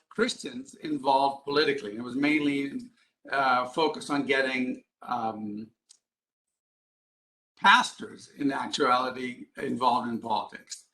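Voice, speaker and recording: A man delivers a live lecture in a fairly high, bright, forceful voice. He explains his points emphatically and leans on rhetorical questions to lead listeners along. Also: rough recording. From Ghana, Middle East and East Africa. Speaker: public speaker, advocacy group president.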